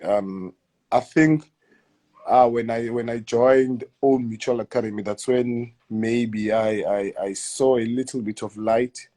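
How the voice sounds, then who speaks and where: A man in his thirties with a South African accent, answering interview questions in a hesitant, halting way, often repeating words and letting sentences trail off quietly. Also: mid-range voice, steady tone. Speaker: guest footballer. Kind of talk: casual interview.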